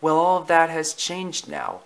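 A man voicing an excited, gentle female dog, a loving mother, in a storybook reading. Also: fairly high pitch, measured pace, vocal fry, pitched above the performer's own voice. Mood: fond, reassuring.

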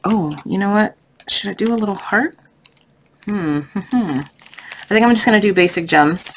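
A woman's voice: medium pitch, normal speed. A woman hosting a casual craft tutorial, thinking aloud in a chatty, easygoing, unscripted way. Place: indoors at a craft table. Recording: noisy home recording with clicks.

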